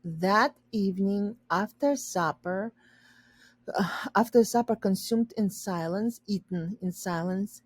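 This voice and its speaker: A woman reading a children's story aloud and pausing to explain words simply for learners. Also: medium pitch, husky.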